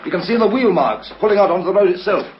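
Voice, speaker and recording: An actor playing a keen, observant police constable in a radio drama. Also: male voice, sits high, expressive, quick pace. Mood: alert. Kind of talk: vintage radio drama acting. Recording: dull old recording, faint noise.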